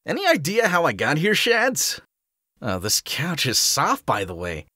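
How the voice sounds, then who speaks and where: A man's voice: on the high side, huge pitch swings, unhurried, bright, forceful tone, husky, no echo, clean studio sound, exaggerated voice. A man voicing a cocky, joking male hedgehog hero in a fan audio drama. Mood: breezy. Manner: breezy, joking.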